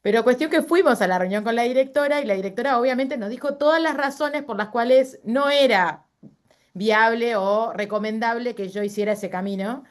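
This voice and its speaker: A woman's voice: fairly high pitch, a little fast. A middle-aged woman with a Uruguayan Spanish accent, speaking as a guest in a casual interview and telling personal stories with frequent fillers and self-corrections.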